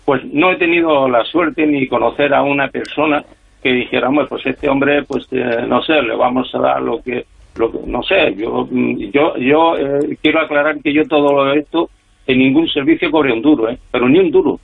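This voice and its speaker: A man's voice: medium pitch, normal speed, creaky, husky. An older man, a former freelance spy, tells his story on a radio interview at length, with many fillers and restarts. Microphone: telephone call. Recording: tinny phone line.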